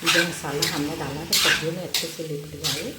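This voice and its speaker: A woman home cook gives an instructional recipe tutorial, explaining each step and repeating as she goes. Her voice sits a little low. The sound is roomy and echoey, with noticeable sizzle, stirring and clicks of cooking behind her. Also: steady tone, fairly quick. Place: indoor home kitchen. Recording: roomy kitchen echo, loud cooking noise.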